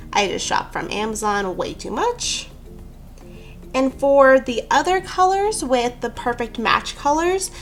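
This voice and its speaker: A woman's voice: on the high side, a bit bright. A woman hosting a nail art tutorial, talking through each step in a chatty, rambling way with easygoing asides about herself. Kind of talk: casual how-to narration.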